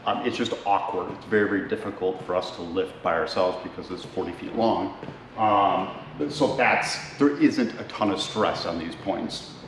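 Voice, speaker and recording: A man in his thirties calmly explaining his boat build in a relaxed, reassuring way, filmed by a camera a few steps away in a large covered shed. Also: low-pitched, normal speed. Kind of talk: casual explanatory vlog. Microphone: camera some distance away. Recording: echoey noisy shed recording.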